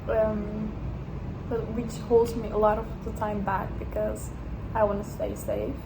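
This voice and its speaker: A woman's voice: medium pitch, flat delivery, measured pace, background noise. A young woman from Turkey, a non-native English speaker, talking casually to camera in a rambling, hesitant way with many restarts. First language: Turkish. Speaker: vlogger. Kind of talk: casual personal vlog.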